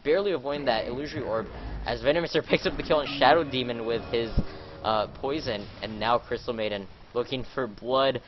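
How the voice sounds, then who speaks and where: A man casting a video game live, sounding nasal and a little stuffed up. He rambles with lots of fillers and gets louder during the fights. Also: medium pitch, a little fast, dull audio, music in the background. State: congested, a little sick. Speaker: esports caster.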